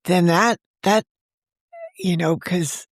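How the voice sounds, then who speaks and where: A woman's voice: lowish voice, slow, no room sound. Earnest woman in her sixties, dry sound. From United States. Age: 60s. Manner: earnest, rambling, restarts often. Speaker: guest, novelist. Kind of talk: casual interview conversation.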